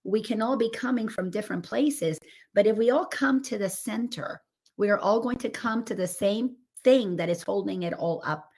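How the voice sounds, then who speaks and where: A woman coach whose voice is raspy after laryngitis, talking earnestly and expansively over a remote call. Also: medium pitch, moderate pace, a little mellow. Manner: earnest and expansive, building on images. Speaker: coach and guest. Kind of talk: casual spiritual podcast chat.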